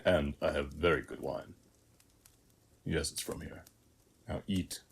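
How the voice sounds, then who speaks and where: An extremely low-pitched warrior of a war god , played by a man, speaks slowly and calmly in an audio roleplay. He is a hospitable temple guardian who addresses the listener in measured phrases with long pauses. Faint noise sits behind the voice. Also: creaky, full character voice. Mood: calm, knowing.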